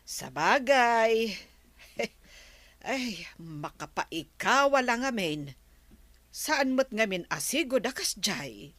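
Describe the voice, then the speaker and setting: Chatty village wife, lively, unhurried. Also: female voice, on the high side, no echo, heavily acted. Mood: teasing. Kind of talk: Ilocano radio comedy drama acting.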